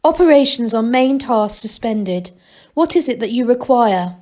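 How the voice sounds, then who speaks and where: A woman's voice: a little high, expressive, a little slow, darkish tone. Woman putting on a tinny, pedantic little machine. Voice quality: tinny, small. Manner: formal, clipped, exact.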